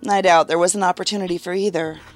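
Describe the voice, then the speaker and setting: A woman playing a brisk, skeptical federal investigator in an audio drama, terse, dry and questioning. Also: lowish voice, steady tone, faint music behind, natural voice. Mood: impatient.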